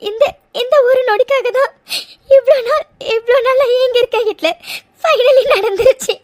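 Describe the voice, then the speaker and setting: Woman acting a very high-pitched, girlish young wife. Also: darkish tone, no room sound, studio quality. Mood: crying with joy. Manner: halting, repeating words, plaintive. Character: sweet, emotional young wife, long yearning for motherhood. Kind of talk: emotional serial-style audio drama acting.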